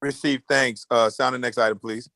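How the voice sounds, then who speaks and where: A man's voice: medium pitch, steady tone, normal speed, creaky, bright, forceful tone, dry recording. A man chairing a virtual board meeting, speaking in formal procedural phrases with a polite, somewhat hesitant delivery.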